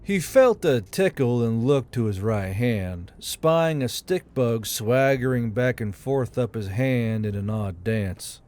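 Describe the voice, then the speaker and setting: A man's voice: medium pitch, animated, slow, few pauses, a little mellow. A man reading military fantasy fiction as a scripted audiobook narration. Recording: music under the voice.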